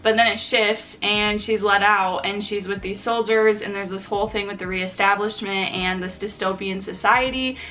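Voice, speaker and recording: A young woman in her mid-twenties talks to camera at home in an easy, rambling way, dropping "like" all through her sentences. Her voice is bright, though her nose is stuffy and her throat sore from allergies. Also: mid-range voice, fairly even intonation, normal speed, hardly any pauses, rough recording. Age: 20s. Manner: chatty, lots of "like" fillers, gushing and critical about books. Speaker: book vlogger. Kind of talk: casual chat to camera.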